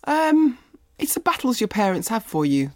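A woman's voice: a little high, sing-song, moderate pace, a little mellow, no echo, clean studio sound. Thoughtful middle-aged woman guest. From Barbados, raised in East London. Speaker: interview guest. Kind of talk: reflective conversational storytelling.

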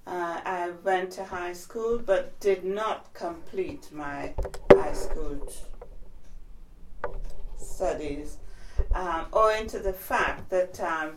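A woman in her seventies from Trinidad, recalling her life in a measured, reflective oral history interview. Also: on the low side, reverberant, distant mic, noisy background. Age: 70s. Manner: measured, reflective, trailing off softly.

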